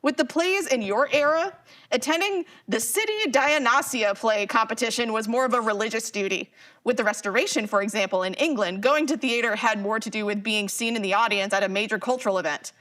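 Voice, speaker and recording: A woman's voice: fairly high pitch, fairly quick, a bit bright, lightly acted. A woman playing a geeky, chatty modern character in a live audio drama, explaining things in a slangy, casual way. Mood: enthusiastic, casual.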